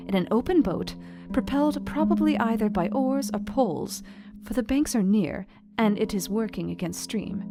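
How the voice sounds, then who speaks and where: An actress plays a young Victorian wife in a gothic audio drama, narrating from her journal. She is composed and intelligent, laying out each point with measured, formal precision. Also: medium pitch, natural voice.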